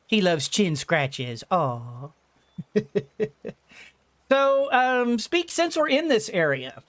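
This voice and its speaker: A woman gently thinking aloud as she plays a farming game for her channel, her throat a little gunky today. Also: medium pitch. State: slightly congested throat. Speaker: gaming YouTuber. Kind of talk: let's-play commentary.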